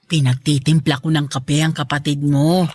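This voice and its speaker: A woman's voice: low voice, steady tone, moderate pace, darkish tone. A woman playing a caring but firm middle-aged mother in a radio drama, with a motherly, patient manner. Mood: fond.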